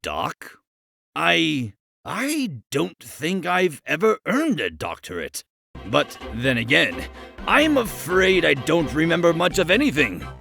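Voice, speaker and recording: A gentle, befuddled old cartoon tinkerer. His delivery swoops widely and takes its time. He is polite and bumbling, easily puzzled. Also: fairly high pitch, wildly swooping pitch, slow pace, gravelly, slightly rough, dry recording, heavily acted. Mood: gently bashful. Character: amnesiac older male tinkerer, gentle and befuddled. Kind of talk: comic dub character acting. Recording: faint music behind the voice.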